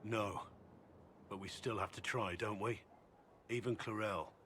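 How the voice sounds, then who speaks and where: A man's voice: on the low side, fairly even intonation, unhurried, fairly bright tone, a little hiss or hum. An actor playing an earnest male Grey Warden speaking in a reflective, solemn way.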